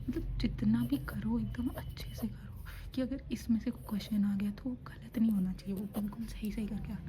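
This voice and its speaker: Young woman, hushed whisper, sore throat, flat even delivery, faint room hum. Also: medium pitch. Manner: hushed whispering, mixing Hindi and English. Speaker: student preparing for a medical entrance exam. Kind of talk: whispered study vlog talk.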